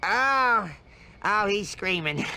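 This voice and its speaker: Gluttonous cartoon cop: dim, offhand, lively delivery. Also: male voice, high voice, slow, gravelly, a little hiss or hum, full character voice. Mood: whiny, flippant. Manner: casual, self-indulgent. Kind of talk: animated cartoon game acting.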